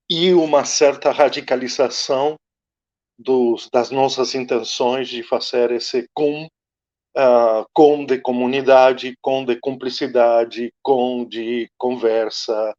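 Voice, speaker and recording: An Argentine man, Spanish his first language, speaks in a slow, searching way and pauses often as he reflects. His middle-pitched voice comes through dull, lo-fi video-call audio. The talk is thoughtful and academic. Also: a little mellow. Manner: slow, searching, many hesitations. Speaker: invited guest speaker.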